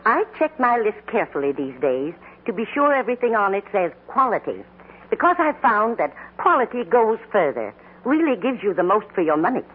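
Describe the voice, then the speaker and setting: A woman playing a thrifty, contented housewife with a husky voice in a 1940s radio advertisement. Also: medium pitch, sing-song, brisk. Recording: tinny 1940s radio broadcast.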